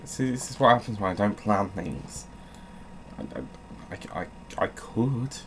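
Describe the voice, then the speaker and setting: A man's voice: lowish voice, measured pace, a bit bright, slight background noise. A man hosting with a dry, self-mocking manner, moving between theatrical storybook reading and casual, sweary rambling. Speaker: host of a gaming channel.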